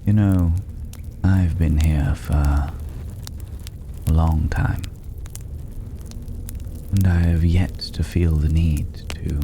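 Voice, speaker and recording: A man playing a mysterious, worldly stranger in a dragon's hoard in an ASMR fantasy roleplay, mocking and condescending, with a languid, teasing delivery and drawn-out pauses. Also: rumbling low, heavily acted. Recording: clearly noisy ambient background.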